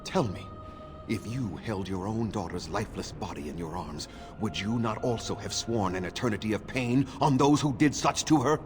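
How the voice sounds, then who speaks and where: A man acting as a proud, bitter, ancient elf clan leader in a fantasy game, speaking in a measured, formal and condescending way. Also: lowish voice, over music, close to an everyday voice. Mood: anguished, bitter.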